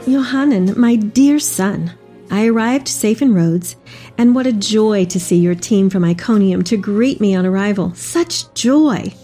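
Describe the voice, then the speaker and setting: A woman's voice: medium pitch, a little slow, dark tone, faint music behind, natural voice. A woman playing an elderly, devout Mary in a dramatic reading of tender, earnest letters to her son. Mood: joyful, earnest.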